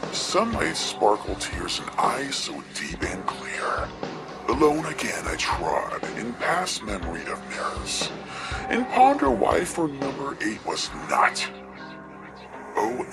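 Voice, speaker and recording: A man playing a lovesick poet, reciting overwrought verse in a breathy, melodramatic, drawn-out way. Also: a little high, full character voice. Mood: wistful longing. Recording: music laid loudly behind voice. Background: loud music.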